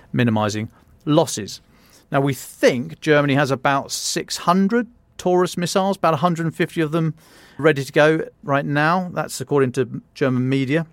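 A man's voice: mid-range voice, dry recording. A British man presenting a news briefing as a podcast host, explaining informally with wry conversational asides. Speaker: podcast host and defence journalist.

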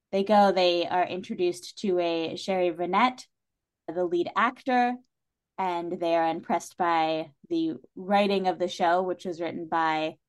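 A woman guest on a podcast, explaining a plot in a casual, conversational way and acting out bits of imagined dialogue. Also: lowish voice. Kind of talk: casual spoken plot synopsis.

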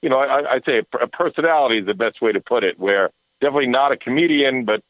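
A man's voice: medium pitch, quick pace, no room sound. A man in his forties, a radio host guest, chatting casually and cracking self-mocking jokes over the phone. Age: 40s. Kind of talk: casual podcast chat. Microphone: phone line. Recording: tinny phone line, dry.

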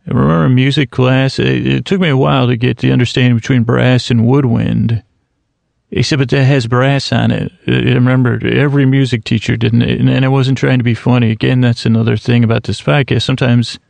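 A man hosting a podcast, musing in a self-mocking, deadpan way and wandering off on long tangents. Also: medium pitch, gravelly, dry recording. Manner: goes off on rambling tangents. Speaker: podcast host. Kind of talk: rambling solo podcast intro.

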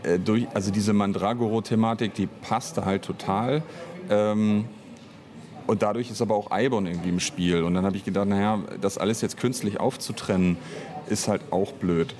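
A man giving unscripted interview answers in a rambling, halting way as a writer and director. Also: lowish voice, steady tone, darkish tone. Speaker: interview guest, audio drama author and director.

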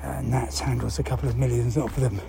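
Man explaining, mellow voice, background noise. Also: medium pitch, little pitch movement, vocal fry. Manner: halting, thinking aloud, with rhetorical questions. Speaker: presenter explaining news. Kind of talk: explanatory talk to listeners, unscripted.

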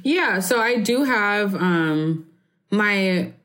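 A woman's voice: medium pitch, drawn-out words. A woman in her twenties from Barbados, chatting casually as an interview guest, rambling and filling her sentences with "like" and "um". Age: 20s. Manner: relaxed, rambling, heavy use of "like" and "um".

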